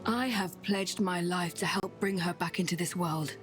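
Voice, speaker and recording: A woman playing a mysterious, solemn witch in a fantasy game, telling grave lore in an earnest, measured and portentous way. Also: lowish voice, over music, lightly acted. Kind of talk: dramatic fantasy game acting.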